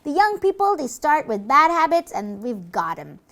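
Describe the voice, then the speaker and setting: A boastful demon henchman from a puppet show, acted out by a woman, chattering in a very high voice. The pitch leaps about theatrically as the creature gloats with gleeful, scheming pride. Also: big pitch swings, moderate pace, fairly bright tone, heavily acted.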